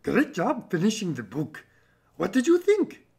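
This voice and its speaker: A man voicing a chirpy, friendly furry cartoon monster with a raspy voice, talking playfully and simply to children. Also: high voice, wildly swooping pitch, measured pace, darkish tone, pitched above the performer's own voice, exaggerated voice.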